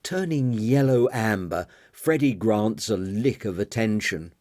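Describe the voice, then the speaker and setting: Slow, expressive male audiobook narrator. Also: lowish voice, no echo, clean studio sound. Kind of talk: dramatic literary narration.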